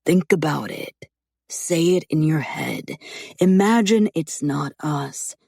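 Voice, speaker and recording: A woman voicing a calm, teasing man in his late twenties who talks in a measured, reasoning way. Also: a little high, creaky, husky, dry recording, clean studio sound, full character voice. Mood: earnest. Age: 20s.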